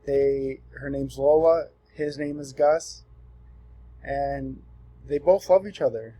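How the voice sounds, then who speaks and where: A young man in his twenties from Southern California talks through a self-introduction in an easygoing, sincere way. His voice is mellow and slightly husky, and he fills his pauses with ums. The pitch sits at an ordinary middle level for a man. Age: 20s. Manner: relaxed and earnest, with frequent ums and restarts. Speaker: student presenting himself. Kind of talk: casual self-introduction for a class assignment.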